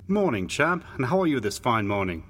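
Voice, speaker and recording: Man voicing a hearty big brother, pitched up. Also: sing-song, fairly bright tone, husky. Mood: cheerful, soothing. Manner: reassuring, brisk, protective. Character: hearty, kindly young English elder brother in drabs. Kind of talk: audio storybook character voice. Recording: long hall reverb, background noise.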